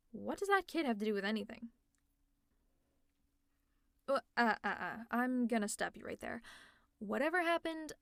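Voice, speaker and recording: A woman voices a sarcastic supervillain who cares more than she admits. Her voice sits a little high, and her lines are dry and close to the mic. She teases and sighs in a casual audio roleplay. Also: a bit bright, no echo. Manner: teasing, exasperated, with sighs and laughs. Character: sarcastic caring female supervillain. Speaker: ASMR roleplay narrator.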